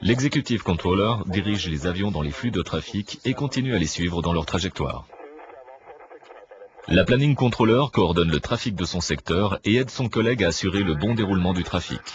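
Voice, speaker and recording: A man reading a scripted documentary narration in a measured, didactic manner. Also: low-pitched, animated, muffled recording, a crowd in the background. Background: clear background music.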